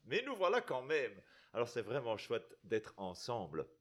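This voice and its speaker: A man's voice: medium pitch, wildly swooping pitch, normal speed, fairly bright tone. A middle-aged man from Belgium with a Belgian French accent, preaching to a congregation in a chatty way, often tagging his sentences with questions and restarting them. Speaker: guest preacher. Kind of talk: sermon to a congregation.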